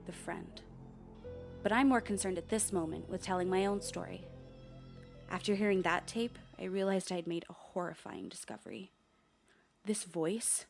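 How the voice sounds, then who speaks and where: Female narrator, played by an actress: lowish, grave, troubled radio drama storytelling, faint music. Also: gravelly, darkish tone.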